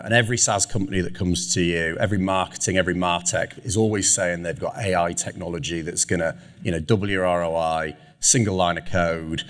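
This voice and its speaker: A British man with a low voice answers questions on a conference panel, talking before a live audience. He is chatty and self-deprecating, with plenty of fillers and restarts. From United Kingdom. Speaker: panelist, chief digital officer.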